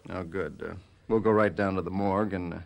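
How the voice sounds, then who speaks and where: Casting: measured police lieutenant, low creaky voice, official but hesitant, old-style radio play. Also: male voice, steady tone. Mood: businesslike. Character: businesslike, tactful male police lieutenant. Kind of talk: golden-age style radio drama acting.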